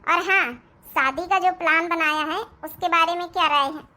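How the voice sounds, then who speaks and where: A performer plays a young city-bred daughter-in-law in a family cartoon. Her voice is extremely high and piercingly bright. She is kind and confident, reassuring everyone as she takes charge. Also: moderate pace, exaggerated voice. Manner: reassuring, take-charge, speaks standard Hindi. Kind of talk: Hindi family cartoon dialogue.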